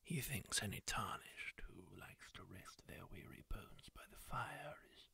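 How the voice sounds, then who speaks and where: A man's voice: on the low side, animated, a little slow, vocal fry, husky, heavily acted. Whispering kindly masked wanderer, a man doing. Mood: soothing. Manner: gentle, reassuring, musing asides. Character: kindly masked male wanderer tending a wounded stranger. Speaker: ASMR roleplay creator. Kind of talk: whispered ASMR roleplay.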